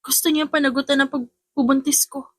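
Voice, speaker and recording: A woman's voice: high-pitched, fairly even intonation, a little fast, dry recording, clean studio sound, pitched above the performer's own voice, lightly acted. A woman voicing a distressed, stubborn young pregnant woman in an audiobook, emphatic and agitated, mixing English with Tagalog. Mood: troubled. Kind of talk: audiobook character acting.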